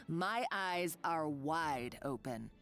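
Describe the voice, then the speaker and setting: A woman's voice: sits low, wildly swooping pitch, drawn-out words, fairly bright tone, exaggerated voice. A cunning, greedy villainess from a video game, taunts and gloats theatrically. Her intonation swoops freely, with music playing underneath. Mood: gloating, obsessed. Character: cunning, mocking female villain holding a hostage, greedy schemer. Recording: game music clearly behind the voice.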